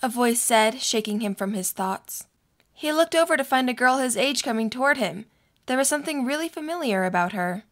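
A woman's voice: on the high side, animated, fairly quick, fairly bright tone. A woman reading scripted narration for a story.

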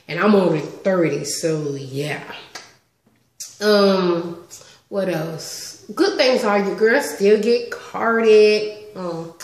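A woman in her thirties chatting casually on a home vlog, animated and talkative, recorded on a webcam in her living room. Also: medium pitch, reverberant. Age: 30s. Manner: chatty, animated with lots of hand gestures. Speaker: vlogger. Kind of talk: casual story-time vlog. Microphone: webcam close up.

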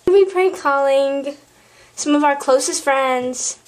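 A woman's voice: sits high, unhurried. A teenage girl chatting casually to camera as an amateur video host, with a giggly manner. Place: small indoor room at home. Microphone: camera's built-in mic at arm's length. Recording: noisy home camera recording.

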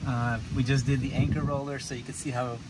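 A man in his fifties casually explaining a boat chore as a sailing vlog host, relaxed and chatty with many ums and restarts, recorded on camera outdoors on a catamaran at a marina. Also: mid-range voice, fairly quick. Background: wind and harbor noise.

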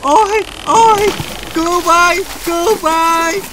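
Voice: extremely high pitch, fairly even intonation, a little slow, noisy background. An actor voicing a stubborn, boastful young horse in a cartoon dub, with music under the voice. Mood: panicked. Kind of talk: cartoon dubbing.

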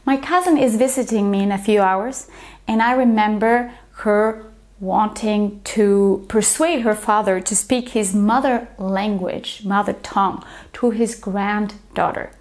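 A woman, a teacher explaining language topics to camera in an earnest, step-by-step way, recorded with a lapel mic in a small bright living room. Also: mid-range voice. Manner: earnest, explains step by step, restarts when telling anecdotes. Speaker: teacher and channel host. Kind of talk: educational talk to camera.

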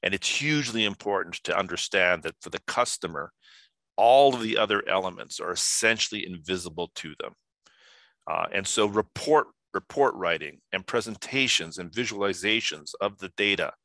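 An older man giving a conference talk over a video call, speaking haltingly with many filler sounds. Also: sits low, pushed and bright, no echo. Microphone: video call webcam.

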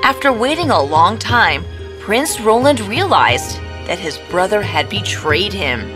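A woman reads a scripted fairy tale as the storyteller. Her mid-range voice has lively, varied intonation, and music plays prominently behind it. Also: medium pitch, measured pace. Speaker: storyteller, narrator. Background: prominent background music.

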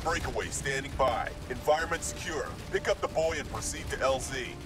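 An actor playing a stoic robot soldier in a video game, giving terse, military tactical reports. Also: male voice, medium pitch, fairly quick, over loud music, natural voice. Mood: businesslike. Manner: clipped, military, matter-of-fact. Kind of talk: video game radio dispatch.

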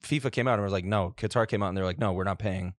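A man's voice: low-pitched, racing, dry recording. A man co-hosting a casual podcast from the studio desk, matter-of-fact as he tees up the next segment. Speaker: podcast co-host. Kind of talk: casual chat. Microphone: studio mic on boom arm, headphones.

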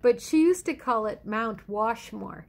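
A middle-aged woman pastor giving a conversational sermon at home in a measured, reflective way with deliberate pauses. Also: fairly high pitch, lively intonation, creaky. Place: indoors in a living room at home. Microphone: phone or webcam at arm's length.

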